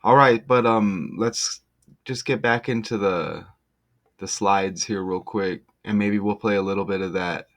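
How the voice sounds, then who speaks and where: A man with a lowish voice presents casually and slowly. He works through his explanation off the cuff, halting and then leaning on key words, and he often checks in with a short tag question. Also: a bit bright. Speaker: online video presenter.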